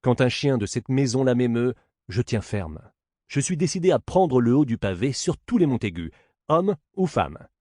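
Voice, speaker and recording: A man's voice: mid-range voice, sing-song, moderate pace, mellow, dry recording, studio quality. A man voicing a swaggering, crude servant in a stage play who brags and blusters, spoiling for a fight. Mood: boastful, combative.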